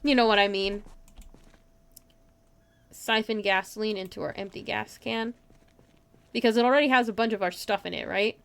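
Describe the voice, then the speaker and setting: A woman's voice: medium pitch, steady tone, moderate pace, gravelly, long pauses, smooth. A woman giving casual live gameplay commentary in a playful way, talking to herself and her chat. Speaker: game streamer, host.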